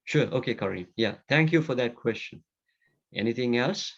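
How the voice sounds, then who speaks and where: A middle-aged man from Sri Lanka speaks from home over a video call, his voice gravelly with a bit of fry. The audio is dull and lo-fi, and his talk is loose and conversational, full of restarts as he thinks aloud. His pitch sits at an ordinary middle level for a man. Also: animated, moderate pace, a little hiss or hum. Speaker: guest speaker answering student questions.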